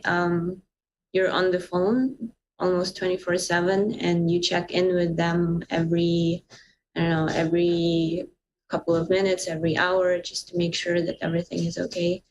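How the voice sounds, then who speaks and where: A woman from Ukraine answering questions as a guest, calm and measured. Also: lowish voice, background noise.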